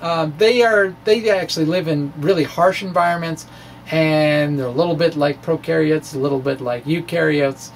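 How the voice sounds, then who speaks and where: A man reading his science blog aloud like a lecture, with halting, informal asides and playful emphasis. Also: fairly high pitch. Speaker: science blogger presenting his own post. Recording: distant mic with faint background noise.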